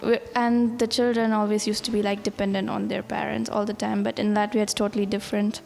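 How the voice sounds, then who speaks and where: Flat-toned woman from India. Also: medium pitch, a little fast, gravelly. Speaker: medical student. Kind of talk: casual interview answer.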